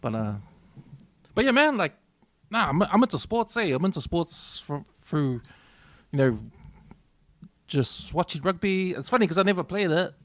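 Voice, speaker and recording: A man's voice: sits high, big pitch swings, moderate pace, pauses often, a bit bright, no echo. A man in his forties with a New Zealand accent, co-hosting a sports podcast in an easygoing, rambling way. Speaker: podcast co-host. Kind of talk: casual chat.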